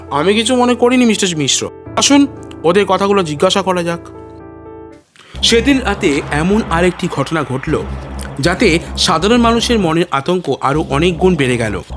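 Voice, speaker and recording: High-voiced male story narrator over music. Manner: literary, suspenseful. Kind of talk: dramatic scripted story narration. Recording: music behind the voice.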